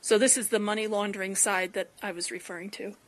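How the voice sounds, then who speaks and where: A woman's voice: medium pitch, steady tone, fast talker, fairly bright tone. A woman hosting a podcast, discussing politics in conversation. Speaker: podcast host.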